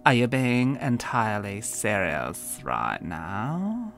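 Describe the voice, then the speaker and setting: A man's voice: mid-range voice, very animated, normal speed, vocal fry, fairly bright tone, faint music behind, voice pushed up. A man voicing a catty, mocking teenage schoolgirl who drawls and stresses her words. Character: catty mean-girl teen schoolgirl.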